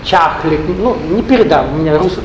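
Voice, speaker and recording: A man's voice: a little high, animated, normal speed. A man giving a spiritual lecture to a live audience, rambling, with joking asides and questions put to the listeners. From Russian-speaking, not Ukrainian. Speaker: spiritual teacher. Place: hall with an audience. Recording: echoey room with faint noise.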